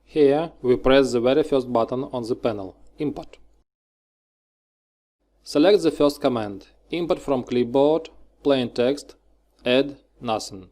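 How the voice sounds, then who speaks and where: Man giving step-by-step software instructions. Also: mid-range voice, unhurried, pauses often. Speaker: software tutorial narrator.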